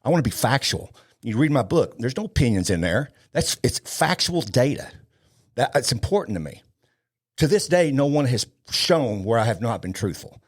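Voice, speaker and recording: A man in his fifties talks with conviction as a podcast guest. He is blunt and emphatic, hammering points home by repeating his phrases, at an ordinary male pitch. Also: big pitch swings, moderate pace, breathy edge. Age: 50s. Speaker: podcast guest, law enforcement trainer. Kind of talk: impassioned interview talk.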